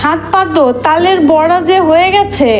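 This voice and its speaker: A woman voicing a clever, bossy young wife in a cartoon, brisk and commanding. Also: very high voice, fairly even intonation, faint music behind. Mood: scheming. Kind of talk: cartoon voice acting.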